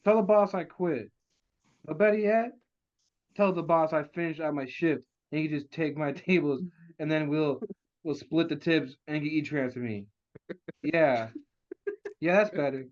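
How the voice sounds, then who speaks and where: Guy as chatty rambling waitress, kinda high voice, muffled lo-fi audio. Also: moderate pace, long pauses, lightly acted. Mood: surprised, keen. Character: chatty, rambling restaurant waitress turned weapons specialist. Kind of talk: virtual table read of a comedy script. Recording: dull lo-fi call audio.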